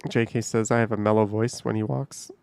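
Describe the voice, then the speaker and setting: A man's voice: on the low side, little pitch movement, fairly quick, vocal fry, a little mellow, clean tone, no echo. A man hosting a casual livestream from home, chatting loosely with his viewers in a rambling, easygoing way. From Canada. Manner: rambling, chatty, laughs easily. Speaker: livestream host reading chat.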